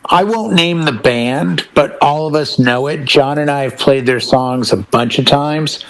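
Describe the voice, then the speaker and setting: A man's voice: fairly high pitch, a little slow, a bit bright, poor audio. A man in a casual panel chat, telling anecdotes and laying things out step by step with emphasis. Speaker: DJ and podcast panelist.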